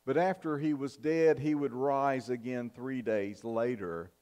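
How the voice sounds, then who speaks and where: An older man preaching a sermon in a church, in a measured, earnest style with emphatic pauses. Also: medium pitch, warm tone. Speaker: pastor. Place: church sanctuary, at the pulpit. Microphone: fixed camera from the back of the church.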